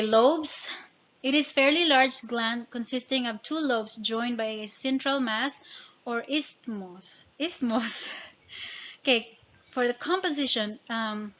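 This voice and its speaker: A woman with a Filipino accent and Tagalog and Visayan as first languages, narrating an informal lesson from notes and halting over technical words. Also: fairly high pitch, a bit bright, muffled recording. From Philippines. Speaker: educator.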